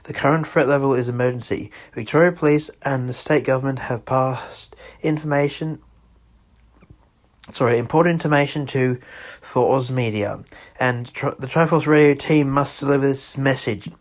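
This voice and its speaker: Amateur emergency announcer: man, medium-pitched, halting scripted delivery with self-corrections. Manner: halting, stumbles over the script and corrects himself. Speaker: radio emergency announcer in a fan-made scenario.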